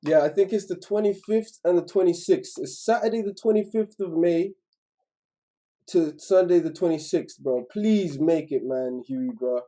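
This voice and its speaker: A man's voice: high voice, moderate pace, mellow. A man hosting a casual call-in show, laid-back and slangy, laughing along with his caller.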